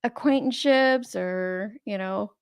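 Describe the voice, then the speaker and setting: A woman's voice: medium pitch, unhurried, clean tone, no echo, clean studio sound. A woman hosting a casual livestream, sarcastic and indignant, swearing freely, with a voice she calls whiny and babyish. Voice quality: describes her own voice as whiny and babyish. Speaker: YouTube livestream host.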